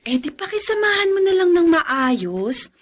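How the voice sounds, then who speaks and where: Chatty practical girlfriend, high animated voice, radio drama. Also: a little slow, heavily acted. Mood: advising. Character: chatty, practical female friend giving advice. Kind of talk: Filipino radio drama acting.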